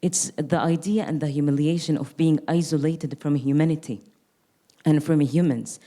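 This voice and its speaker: Low-voiced young woman, earnest and reflective. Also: fairly even intonation, moderate pace, dark tone. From Gaza Strip. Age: young adult. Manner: reflective, earnest, with frequent restarts. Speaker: artist. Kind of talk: panel conversation.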